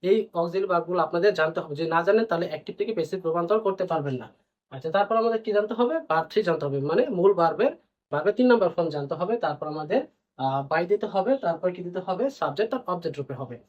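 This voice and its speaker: A man's voice: high voice, lively intonation, very fast. A man teaching a grammar lesson, repeating points and answering his own questions as he explains. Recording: dull, lo-fi band-limited sound.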